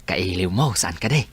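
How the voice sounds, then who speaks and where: A man's voice: low-pitched, a bit bright, slight background noise, exaggerated voice. A man playing a puzzled, insistent young working man in an Ilocano comedy radio drama, musing and questioning.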